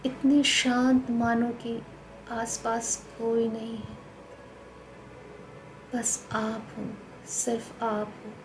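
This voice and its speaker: An Indian woman guides a meditation in a fairly high voice, soothing and instructional. She speaks in slow, spaced phrases. Clear background noise sits behind her. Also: darkish tone, low-quality recording.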